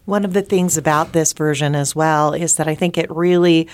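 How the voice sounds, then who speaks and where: A woman's voice: deep voice, steady tone, normal speed. A woman in a conversational interview about theater, explaining thoughtfully in long, building sentences.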